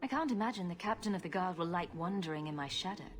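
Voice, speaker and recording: A woman's voice: lowish voice, lively intonation, quick pace, a little hiss or hum, natural voice. A woman voicing a wry rogue adventurer in a video game, with a dry, teasing, sarcastic manner. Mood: curious, wry.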